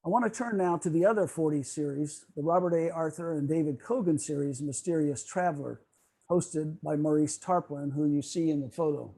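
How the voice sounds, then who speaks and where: Man, slightly high voice, soft dark timbre, measured explanatory lecture, video call presenter. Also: gravelly, low-quality recording. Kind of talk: prepared club lecture.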